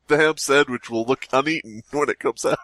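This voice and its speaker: A man's voice: medium pitch, normal speed, fairly bright tone, dry recording. A man hosting a comedy podcast in casual banter, riffing and wisecracking as he steers the group. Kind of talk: casual joking banter and reactions.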